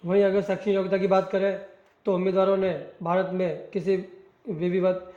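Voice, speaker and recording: A male presenter reads scripted job information in a high, flat voice. He speaks straight to his listeners as he goes through the details. The sound is dull and lo-fi, with clear room echo. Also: brisk. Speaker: presenter of job news videos.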